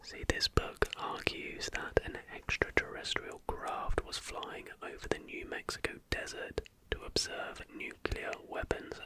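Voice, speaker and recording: An ASMR host narrating in a breathy, unvoiced whisper with a hushed, measured delivery. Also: extremely high pitch, poor audio. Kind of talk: ASMR reading of conspiracy history, scripted narration with brief asides.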